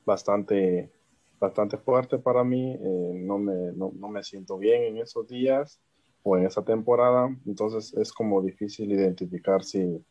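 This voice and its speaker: A man's voice: fairly low pitch, unhurried, a little mellow, lo-fi sound. A man from Guatemala asking a doctor a question on a video call, polite and hesitant, with many fillers.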